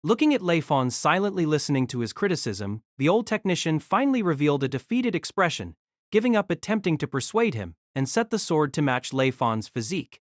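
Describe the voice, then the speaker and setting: A man narrates a scripted fantasy tale in a fairly high voice. His intonation rises and falls with great variety. The sound is close and very dry. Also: big pitch swings, brisk, no echo. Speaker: narrator of a fantasy audio drama.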